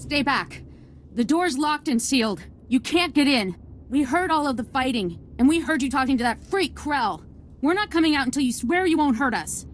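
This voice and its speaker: A woman's voice: high-pitched, lively intonation, moderate pace, a little hiss or hum. Casting: scared civilian woman, earnest and pleading, sci-fi game. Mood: defiant. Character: frightened civilian woman pleading for her group. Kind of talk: video game cutscene acting.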